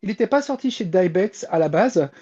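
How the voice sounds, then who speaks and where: A woman's voice: medium pitch, lively intonation, brisk, a crowd in the background. A woman in casual panel chat as a show columnist, explaining insistently, on a slightly dull recording. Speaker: columnist on an anime and manga show. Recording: slightly dull, muffled sound.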